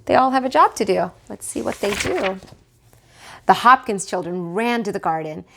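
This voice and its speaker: A woman reading a picture book aloud to children in an expressive way, pausing to put questions to the listeners. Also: mid-range voice, creaky, noisy background. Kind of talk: animated read-aloud storytelling for children.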